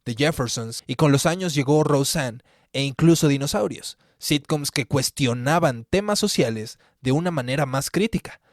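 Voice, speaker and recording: A man's voice: on the high side, lively intonation, normal speed, hardly any pauses, no room sound, studio quality. A man in his twenties with a Mexican accent, narrating a scripted video essay as its host. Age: 20s.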